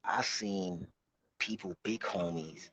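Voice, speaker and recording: A man of about thirty giving casual, slangy street commentary in a halting, repetitive way, recorded outdoors. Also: sits low, fairly bright tone. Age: late 20s, about thirty. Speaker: vlog host. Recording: muffled, noisy outdoor recording with clicks.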